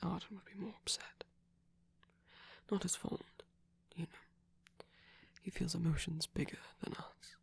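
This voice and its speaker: Breathy whispering vampire boyfriend, voiced by a man. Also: high-pitched, fairly even intonation, measured pace, long pauses, exaggerated voice. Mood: soft, reassuring. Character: gentle, caring male vampire boyfriend, softly self-conscious. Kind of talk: whispered ASMR roleplay.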